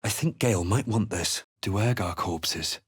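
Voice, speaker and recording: A man playing a serious, articulate fantasy hero in a game, with deliberate and grave dramatic delivery. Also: lowish voice, slightly rough, no room sound, clean studio sound. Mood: serious, musing. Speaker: player character voice actor.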